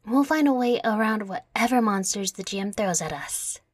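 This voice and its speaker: A woman's voice: medium pitch, lively intonation, moderate pace, dry recording, lightly acted. A woman voicing a laid-back, mischievous young fairy who talks in casual slang, in an ASMR audio roleplay. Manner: slangy, nonchalant.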